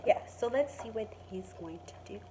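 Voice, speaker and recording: A woman teacher reading a story aloud to young children in an expressive, dramatic and emphatic way. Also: on the high side, normal speed, a little hiss or hum.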